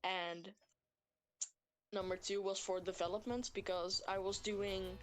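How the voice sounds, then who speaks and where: A woman's voice: medium pitch, little pitch movement, unhurried. A woman game developer chatting casually, in very informal speech peppered with filler words like "like". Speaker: game developer, guest collaborator. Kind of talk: casual chat. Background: music behind the voice.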